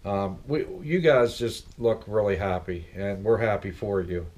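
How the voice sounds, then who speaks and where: A man's voice: fairly low pitch, unhurried, darkish tone. A middle-aged man hosting a casual vlog from his living room, chatting and rambling with lots of questions for his viewers. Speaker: vlog host. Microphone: laptop webcam, seated at desk.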